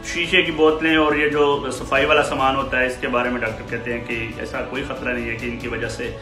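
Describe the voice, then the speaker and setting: A man in his forties giving measured advice to camera from a small room at home, pausing often with fillers. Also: mid-range voice, level tone. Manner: measured, with frequent pauses and fillers. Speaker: commentator. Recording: roomy small room with faint music.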